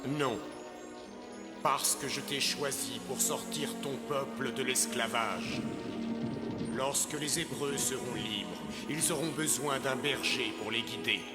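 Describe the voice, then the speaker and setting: Man as a slow, solemn voice of God. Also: mid-range voice, roomy sound, big-room sound, over loud music, lightly acted. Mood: solemn, commanding. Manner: solemn, measured. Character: solemn, commanding, all-powerful God. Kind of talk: animated film dub in French. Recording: loud musical score behind.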